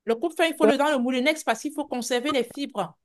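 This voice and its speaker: Woman coach with a slightly high voice. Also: fast talker. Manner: repeats words, restarts sentences, reassuring. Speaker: health and weight-loss coach. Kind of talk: live group coaching call.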